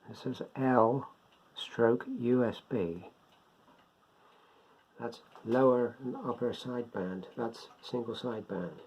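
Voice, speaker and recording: An older man giving a casual, unscripted product demonstration, talking haltingly as he thinks aloud. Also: medium pitch, a little mellow, husky. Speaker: presenter of a beginners' radio hobby video. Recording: dull lo-fi sound, faint background noise.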